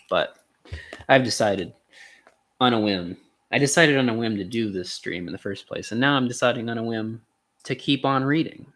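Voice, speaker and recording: A man's voice: mid-range voice, a bit bright. A man reading a philosophical essay aloud as a streamer, with frequent hesitations and off-the-cuff asides.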